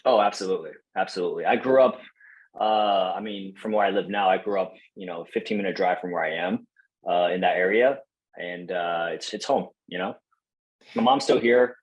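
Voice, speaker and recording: Relaxed young man, lowish voice. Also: a little fast, muffled recording. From Taiwan. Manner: relaxed, friendly, many fillers and restarts. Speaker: podcast guest. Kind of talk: casual interview chat.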